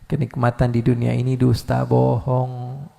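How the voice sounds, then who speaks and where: Man, preacher, gravelly, live sermon, rhetorical questions, long hall reverb. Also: mid-range voice, measured pace. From Indonesia. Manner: lively, swinging from loud calls to soft asides, rhetorical questions. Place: large hall at a live religious gathering.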